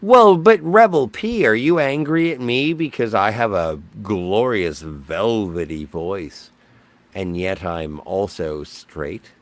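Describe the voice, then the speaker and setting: A man's voice: fairly low pitch, animated, slow pace, slight background noise. A man hosting a casual panel chat, blunt and rambling, with a jokingly self-important streak. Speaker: show host.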